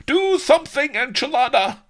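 A man's voice: mid-range voice, big pitch swings, slow, fairly bright tone, voice pushed up, exaggerated voice. A man voicing a bombastic, bloodthirsty Spanish villain lord in an adventure story, with pompous, bellowing, theatrical ranting. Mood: gloating, menacing.

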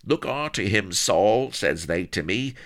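A man's voice: on the low side, expressive, unhurried, fairly bright tone, pitched above the performer's own voice. A man voicing a crazed old castaway gardener with a West Country accent, rambling and whining in rustic dialect in an audiobook. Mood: agitated, rambling. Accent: West Country English.

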